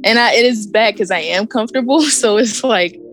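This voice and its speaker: Soft-spoken woman singer being interviewed. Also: a little high, brisk, faint music behind. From Maryland. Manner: soft-spoken, laughs often, short answers. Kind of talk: casual interview.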